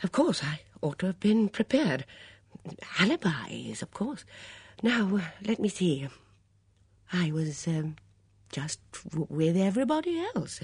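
Woman putting on a breathless, flustered, higher-pitched dithering lady. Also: sing-song, a little slow, long pauses, darkish tone, breathy edge, dry recording, clean studio sound, exaggerated voice. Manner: breathless, hesitant, rambling. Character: flustered, good-natured, vague, dithering middle-aged woman.

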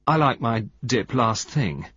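A man's voice: mid-range voice, lively intonation, unhurried, a bit bright, husky, no echo, muffled recording. A man voicing an amiable, slightly dim young husband who speaks vaguely and hesitantly, in a storybook.

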